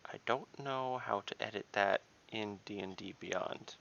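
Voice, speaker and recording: Male tabletop player, creaky low voice, bright edge, casual chat, slightly muffled audio. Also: a little slow.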